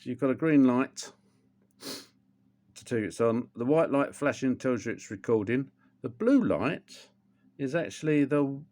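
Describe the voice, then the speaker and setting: A man's voice: medium pitch, a little mellow. An older man, chatting casually through a how-to demonstration at home, rambling with plenty of ums and restarts. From England. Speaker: motorcycle vlogger.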